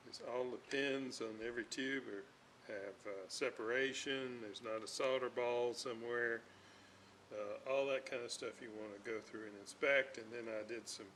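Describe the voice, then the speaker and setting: A man giving an unscripted hands-on repair demonstration, talking in a halting way as he thinks aloud. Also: mid-range voice, a bit bright. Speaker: host, electronics restorer. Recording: clear background noise.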